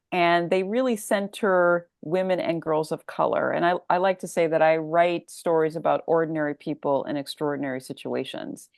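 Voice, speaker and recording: A woman author answering interview questions conversationally, thoughtful and expressive, leaning on key words. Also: on the low side, dry recording, clean studio sound. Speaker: author, podcast guest.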